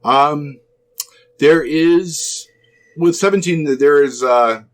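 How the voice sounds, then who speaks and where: A man narrating a tabletop role-playing game as game master, explaining scenes in a halting, explanatory way. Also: a little high.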